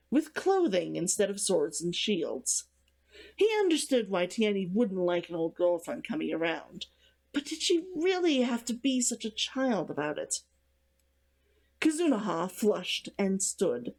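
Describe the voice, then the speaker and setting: A woman reading her own novel aloud as scripted, expressive audiobook narration. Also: medium pitch.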